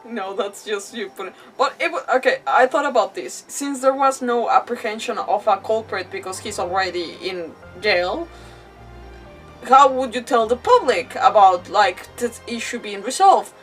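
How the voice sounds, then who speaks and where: Woman, game streamer, casual commentary, chatty, mid-pitched, somewhat distant mic, faint music behind. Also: expressive, normal speed. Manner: chatty, reacting aloud to the game. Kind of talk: casual livestream gameplay commentary.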